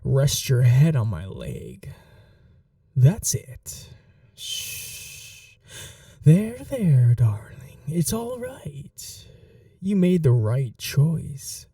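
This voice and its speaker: Man acting very slow, dark-voiced possessive vampire. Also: medium pitch, wildly swooping pitch, vocal fry, no echo, clean studio sound, distant mic, heavily acted. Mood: tender, possessive. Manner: slow, hushed, dominant, formal endearments, often whispering. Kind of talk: intimate ASMR roleplay, scripted monologue.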